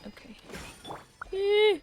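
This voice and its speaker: A woman's voice: very high-pitched, big pitch swings, extremely slow, very noisy. A woman doing casual live commentary as she streams a video game, muttering reactions as she plays. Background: game music.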